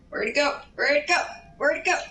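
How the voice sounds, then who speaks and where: A woman's voice: high voice, sharp tone, far from the mic, a little hiss or hum. A young woman telling a casual, sweary story while gaming, rambling and easily sidetracked. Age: young adult. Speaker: storyteller and gamer. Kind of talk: casual storytelling while playing a video game. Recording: roomy echo with music behind.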